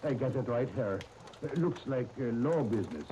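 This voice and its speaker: An old radio recording with faint crackle carries a man acting a bewildered immigrant workman. His Scandinavian accent is thick and comic, and his soft-edged voice halts through broken English in 1930s radio drama style. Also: medium pitch, expressive, moderate pace, muffled recording, close to an everyday voice. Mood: bewildered, worried. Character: simple, worried immigrant working man with comic dialect.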